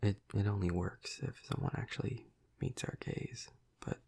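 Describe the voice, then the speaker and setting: A man playing a flirty, protective vampire of high rank in an intimate audio roleplay, speaking softly and hesitantly in a breathy, gravelly half-whisper. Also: steady tone. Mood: gentle, explaining. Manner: slow, hesitant, soft, soothing. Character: flirty, protective male vampire, high-ranking in his clan.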